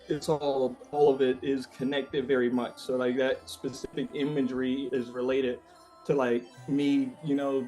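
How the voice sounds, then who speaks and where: A man being interviewed as a musician, talking casually and haltingly as he searches for the right words. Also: mid-range voice, a little mellow, low-quality recording.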